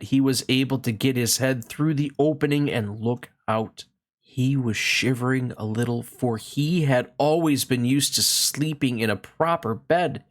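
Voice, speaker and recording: A woman narrates a children's story, reading from a book. Her voice is extremely low for a woman. She takes the text slowly, as a read-aloud storyteller.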